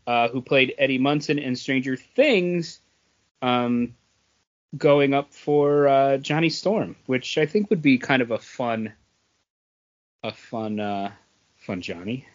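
A man co-hosting a podcast, chatting casually about entertainment news in a halting, hesitant way. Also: medium pitch, lo-fi sound. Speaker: podcast co-host. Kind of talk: casual chat about movie and comics news.

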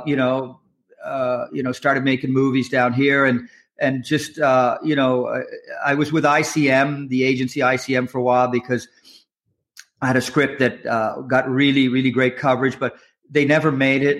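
A man's voice: medium pitch, fairly bright tone. A man from Nova Scotia, a doctor turned filmmaker, telling his story in a loose, frank way and laughing easily. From Canada, Nova Scotia. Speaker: guest filmmaker. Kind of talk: casual storytelling interview.

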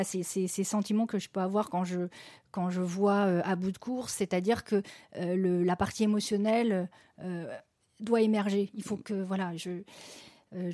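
A middle-aged woman answering interview questions about her work as a festival programmer, halting and full of restarts. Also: medium pitch, dark tone, no room sound, clean studio sound. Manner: halting, many restarts and fillers. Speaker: festival general delegate.

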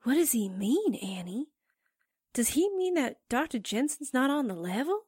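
A woman's voice: sits high, big pitch swings, normal speed, darkish tone, breathy edge, no room sound, clean studio sound, full character voice. A woman playing a naive, literal-minded and easily flustered young assistant in a 1940s-style radio drama. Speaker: actor in an amateur radio drama re-creation.

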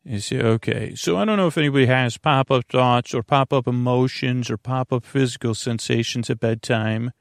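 A man hosting a bedtime podcast in a rambling, halting, self-deprecating monologue, repeating himself and trailing off mid-phrase. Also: mid-range voice, vocal fry, no echo, studio quality.

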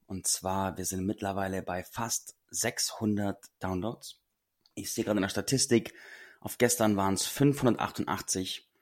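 A man hosting a podcast and speaking earnestly to listeners, a little hoarse today. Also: deep voice, fairly even intonation, moderate pace. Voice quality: husky. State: a bit hoarse. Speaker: podcast host and coach. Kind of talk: solo podcast talk.

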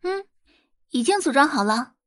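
A woman's voice: high-pitched, expressive, moderate pace, fairly bright tone, dry recording, pitched above the performer's own voice. A woman voicing a young girl in an audio drama, tech-savvy, offhand and direct.

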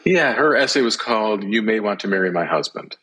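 A middle-aged man as an interview guest, telling personal reflections in a thoughtful, halting way. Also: lowish voice, animated, bright, forceful tone, breathy edge, low-quality recording.

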